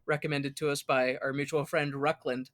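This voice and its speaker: A man's voice: on the high side, little pitch movement, normal speed, fairly bright tone, no room sound, clean studio sound. A man hosting a podcast, chatting casually in a rambling, jokey way. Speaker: podcast host. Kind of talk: casual chat.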